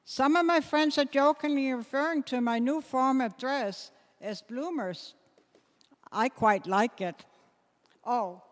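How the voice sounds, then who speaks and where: A woman in a readers theater playing a practical, outspoken 1840s dress reformer in measured, emphatic tones. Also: a little high, in a large hall, natural voice. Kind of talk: staged readers theater performance.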